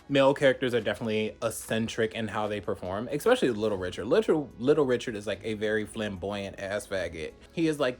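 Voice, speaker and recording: A man competing in drag, speaking bluntly and emphatically in a confessional interview and swearing casually. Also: on the low side.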